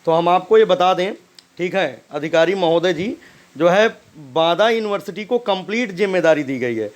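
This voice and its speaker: A man's voice: sits high, lively intonation, moderate pace. A man giving informal commentary as a host, insistent and admonishing, often tagging his points with short check-in questions. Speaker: host commenting on a recorded phone call.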